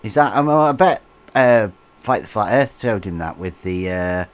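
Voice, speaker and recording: A man's voice: lowish voice, lively intonation, measured pace, clear, resonant tone. A man co-hosting a livestream, chatting casually and chuckling as he reads out viewer comments. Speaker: livestream co-host.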